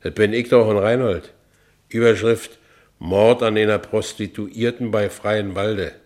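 A man plays a broken, stubborn working-class Berliner in a radio drama. The voice sits low, with a Berlin accent. The lines come in terse, blunt phrases. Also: fairly even intonation, measured pace, darkish tone, natural voice. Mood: troubled, bewildered. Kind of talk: first-person radio play narration and acting.

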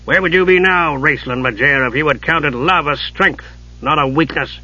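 A man's voice: a little high, normal speed, bright, forceful tone, dull audio. A man voicing a blunt, irritable male dwarf who mutters and growls, as in a fantasy audiobook. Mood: stern reproach.

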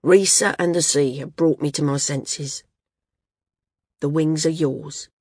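A woman voicing a hearty, good-natured ailing man who talks loudly and frankly in a storybook. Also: sits low, steady tone, unhurried, breathy edge, dry recording, clean studio sound, exaggerated voice. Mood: frank, rueful. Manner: loud, frank, teasing.

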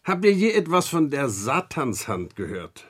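An actor playing a grave middle-aged lawyer and uncle in a German radio crime drama, telling things in a deliberate, weighty way. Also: male voice, on the high side, big pitch swings, a bit bright, husky, exaggerated voice. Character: grave male lawyer and uncle recounting grim tales.

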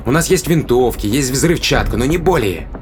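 A man's voice: medium pitch, expressive, moderate pace, a little hiss or hum, lightly acted. An actor playing a determined, risk-taking man in an audio drama, arguing insistently and persuasively with rhetorical questions. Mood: insistent, fervent. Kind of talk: dramatic audio play acting.

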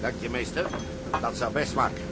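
Man acting a callous male seafarer, boastful and matter-of-fact, medium pitch, clear background noise, period drama. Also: normal speed, close to an everyday voice. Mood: boastful, satisfied. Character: hard, callous male seaman, unrepentant adventurer. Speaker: actor in a Dutch maritime drama.

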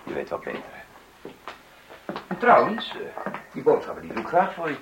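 Hesitant, placating husband, lively intonation. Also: male voice, fairly high pitch, creaky, exaggerated voice. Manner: conciliatory, halting. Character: placating, hesitant husband, twenty years married. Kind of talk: radio play acting. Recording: noisy old radio play recording.

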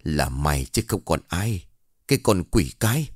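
A man's voice: deep voice, sing-song, moderate pace, breathy edge, no echo, clean studio sound, heavily acted. A man voicing a hot-headed, vengeful grieving son in a horror story, snarling accusations in a Southern Vietnamese accent. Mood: bitter, seething. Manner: snarling, shouting accusations through clenched teeth.

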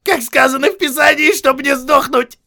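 A man voicing a foul-mouthed, groveling army sergeant who screams and pleads in a shrill, hoarse voice, in an audiobook. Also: moderate pace, pushed and bright, exaggerated voice. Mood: pleading. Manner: screaming, pleading, spitting insults.

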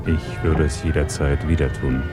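An actor playing a devoted, resigned widower and accomplice in a German radio crime drama, subdued and obedient. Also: male voice, very deep voice, flat delivery, moderate pace, loud music behind the voice.

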